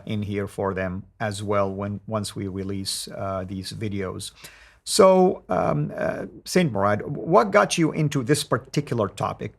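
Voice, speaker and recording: A man's voice: lowish voice, creaky. A man hosting a studio interview, courteous and welcoming toward his guest. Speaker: host.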